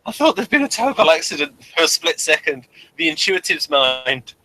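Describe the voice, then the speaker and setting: A man hosting an informal review show, speaking in a halting, digressive way and often correcting himself. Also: a little high, big pitch swings, bright, forceful tone, low-quality recording. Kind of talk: informal review chat, reading out a summary.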